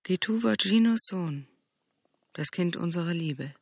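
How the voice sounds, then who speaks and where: Husky, defiant confiding woman. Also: lowish voice, lively intonation, unhurried, no room sound, clean studio sound. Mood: wounded, defiant. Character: wounded, defiant woman confiding an old secret. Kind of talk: radio drama dialogue.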